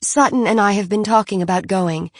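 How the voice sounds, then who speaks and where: A woman's voice: medium pitch, fairly quick, no room sound, pitched above the performer's own voice, close to an everyday voice. A woman reading an audiobook, voicing a genteel, fussy mother who talks in a prim, gushing and insistent way. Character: genteel fussy francophile mother, high-strung.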